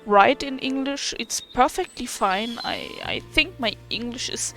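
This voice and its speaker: A woman gamer with a German accent, not a native English speaker, talks casually over her gameplay. Her delivery is slow, halting and self-deprecating, often searching for the right word. Soft music sits faintly in the background. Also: a little high, lively intonation. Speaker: gaming video creator and streamer. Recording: faint game music behind.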